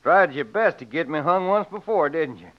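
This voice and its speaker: Man acting a protective rancher father, defiant, fairly high voice, muffled old radio drama. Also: animated, moderate pace, lightly acted. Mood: grim. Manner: stubborn, plain-spoken, challenging. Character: defiant, protective Western father facing his enemies. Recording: dull lo-fi vintage radio recording.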